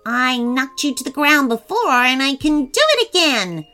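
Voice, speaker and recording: A woman voicing a tiny, timid male garden gnome who talks in a high, anxious voice. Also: lively intonation, moderate pace, bright, forceful tone, soft background music. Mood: defiant.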